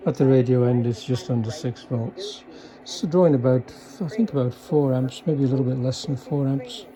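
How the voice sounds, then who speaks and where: A man's voice: medium pitch, normal speed, a crowd in the background, rough recording. A man giving a casual, unscripted demonstration as an electronics hobbyist, talking in a hesitant, understated and technical way. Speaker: electronics hobbyist demonstrating a repaired vintage car radio.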